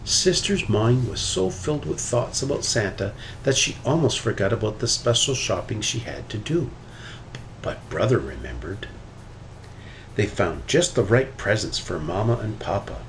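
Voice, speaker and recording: A man reading a children's story aloud with expressive, animated delivery. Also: lowish voice, normal speed, a little hiss or hum, low-quality recording. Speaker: storybook reader.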